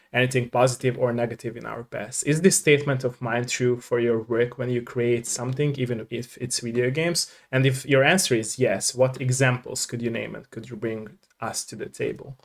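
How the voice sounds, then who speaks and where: A man's voice: mid-range voice, creaky. A man hosting a casual video interview, earnest and polite, often answering with short agreements. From Ukraine. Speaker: interview host.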